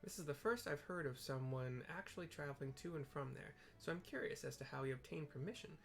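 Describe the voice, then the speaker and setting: A man's voice: fairly high pitch, fast talker, creaky, faint music behind. A man voicing a flustered, self-important female scholar in a video game, stammering and chatty. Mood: dismissive, chatty.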